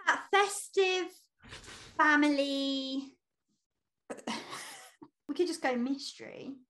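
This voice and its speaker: A woman's voice: sits high, slow, fairly bright tone. A woman chatting casually and playfully as co-host of a book podcast. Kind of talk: casual unscripted book chat.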